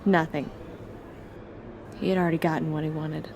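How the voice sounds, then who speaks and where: A woman's voice: low voice, little pitch movement, normal speed, a crowd in the background. An actress in her twenties playing a guarded, defensive young woman in a film drama, talking in a conversational, evasive way. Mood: confessional, weary. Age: 20s. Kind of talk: naturalistic film drama dialogue.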